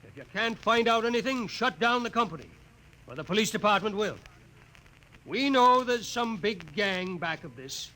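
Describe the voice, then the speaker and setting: Sarcastic police sergeant, animated and forceful, blustering, vintage radio drama. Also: male voice, sits high, normal speed, slight background noise, poor audio. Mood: angry, badgering. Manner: gruff, badgering, sarcastic. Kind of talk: 1950s radio drama acting.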